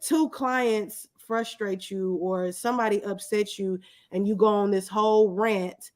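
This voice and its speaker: A woman's voice: mid-range voice, fairly even intonation, a little slow. An American woman chatting casually and candidly as an interview guest. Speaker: guest entrepreneur.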